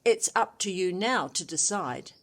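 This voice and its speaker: A woman voicing a stern but loving mother lioness in a children's story, firm and deliberate. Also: fairly low pitch, animated, fairly bright tone, heavily acted.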